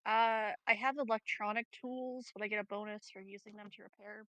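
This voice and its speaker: A woman's voice: mid-range voice, fairly even intonation, fairly quick, sharp tone, no room sound, rough recording. A woman chatting casually as a player in a tabletop role-playing game.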